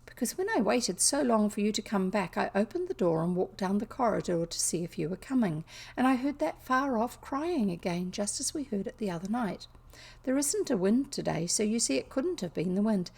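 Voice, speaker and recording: A woman's voice: mid-range voice, animated, brisk, gravelly, darkish tone, breathy edge. A woman voicing an inquisitive, stubborn, earnest young girl in a storybook reading. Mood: questioning, insistent.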